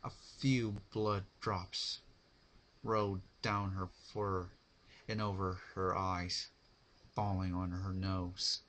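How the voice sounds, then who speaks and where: Casting: male narrator for horror fan fiction, low-key amateur storytelling, slow, halting, rough-edged and bright. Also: flat delivery, low-quality recording. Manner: halting, stumbles over words.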